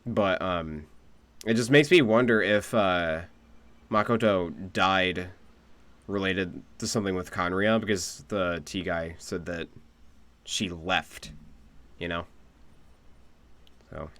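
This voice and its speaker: Low, clear-voiced male game streamer. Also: slow pace, long pauses, a bit bright. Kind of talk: casual live reaction commentary.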